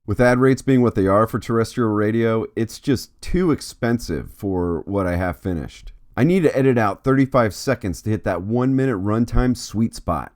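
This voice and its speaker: A man's voice: fairly low pitch, normal speed, hardly any pauses, a little mellow. A middle-aged man hosting an art vlog with scripted narration. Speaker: host, artist.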